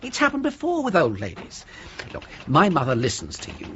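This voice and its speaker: Suspicious English son, middle-aged, irritable, pompous, husky, very animated, slightly dull radio recording. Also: male voice, fairly high pitch, fairly quick, slight background noise, full character voice. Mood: agitated. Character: suspicious, irritable, self-important son of wealthy old lady. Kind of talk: British radio drama acting.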